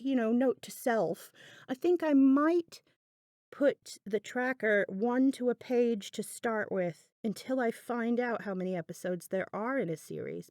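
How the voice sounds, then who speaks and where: A woman's voice: on the high side, darkish tone, dry recording, studio quality. A woman hosting a craft video in a casual, unscripted voiceover, musing aloud in a chatty, self-deprecating way. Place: indoors, voice-over recorded apart from the video.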